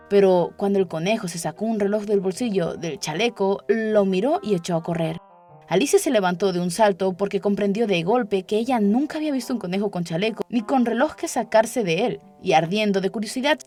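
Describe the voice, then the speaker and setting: A woman's voice: mid-range voice, darkish tone. A woman narrating a children's story as a scripted audiobook.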